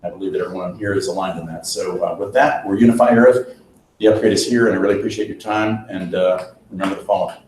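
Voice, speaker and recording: Retired army colonel presenting, echoey hall. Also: male voice, low-pitched, steady tone, fast talker. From United States. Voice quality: strong voice. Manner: earnest, rambling with many fillers. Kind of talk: conference talk with Q&A. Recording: echoey hall recording with faint noise.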